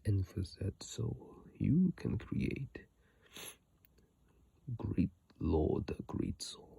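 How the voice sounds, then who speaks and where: Male ASMR reader: hushed, husky, gravelly at the edges, unhurried and breathy. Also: lowish voice, no room sound. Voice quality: breathy, husky, mostly whispered. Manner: slow, hushed, drawn-out delivery. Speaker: ASMR game content creator. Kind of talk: ASMR reading and game commentary. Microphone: iPhone mic.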